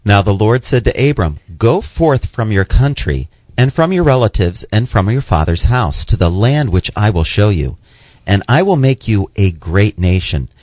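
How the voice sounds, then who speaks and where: A man with a lower voice and very animated intonation works as a radio co-host and reader. He delivers announcements and scripture aloud. The audio is dry and slightly dull. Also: no room sound.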